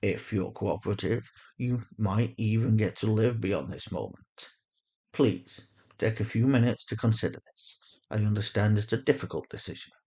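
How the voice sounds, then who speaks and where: A performer voicing a weary, resigned male interrogator with quiet menace, speaking in a measured, understated way in an audio drama. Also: medium pitch, slightly rough. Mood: calm menace. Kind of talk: acted audio fiction.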